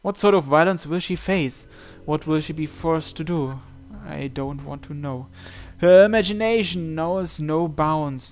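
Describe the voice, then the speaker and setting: Higher-pitched man, dramatic read-aloud narration. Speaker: visual novel let's player. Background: game music.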